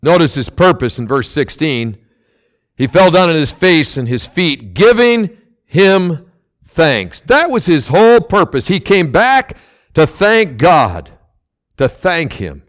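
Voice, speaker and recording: A man preaching with a loud, big-mouthed delivery, his high voice leaping all over the place. He pushes phrases hard, then pulls back to quieter asides. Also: sing-song, slow pace. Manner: loud, emphatic preaching with sudden swells and drops. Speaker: pastor. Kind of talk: sermon.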